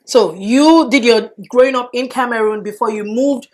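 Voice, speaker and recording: A woman's voice: fairly high pitch, moderate pace, a little mellow. Friendly woman hosting an interview. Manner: friendly, affirming, repeats words of praise. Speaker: talk show host.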